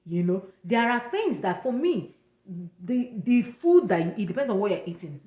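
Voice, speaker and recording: A woman's voice: mid-range voice, big pitch swings, normal speed, mellow, roomy sound. A young woman from Nigeria with an Igbo accent, giving chatty, repetitive travel advice in a casual vlog. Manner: chatty, repeats points, pidgin touches.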